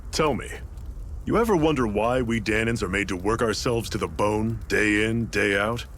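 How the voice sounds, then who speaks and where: An actor playing a seasoned, fatherly rebel leader in a video game, with a relaxed, folksy, mentoring way of talking. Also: lowish voice, normal speed, slight background noise. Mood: wry, explaining.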